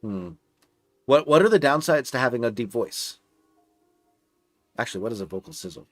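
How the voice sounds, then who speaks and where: A man's voice: mid-range voice, expressive, moderate pace, dry recording. A young man with a slightly nasal voice streaming live, chatting casually and rambling with lots of filler words and restarts. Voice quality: a bit nasal.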